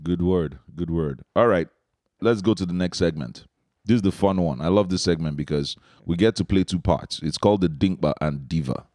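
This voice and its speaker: Very deep-voiced male podcast host. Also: moderate pace, darkish tone, dry recording, clean studio sound. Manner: laid-back, rambling with restarts. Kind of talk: casual interview chat.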